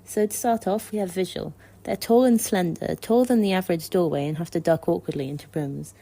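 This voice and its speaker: A woman reading prepared fan commentary in a measured, articulate and thoughtful way. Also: on the low side, dark tone. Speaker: listener contributor sending a voice message.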